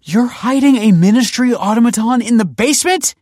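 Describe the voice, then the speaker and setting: A man's voice: fairly low pitch, moderate pace, slightly rough, no room sound, clean studio sound. A man voicing an alarmed, insistent woman in a narrated story, emphatic and pleading. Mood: alarmed, demanding. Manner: emphatic, pleading, stammering when frightened.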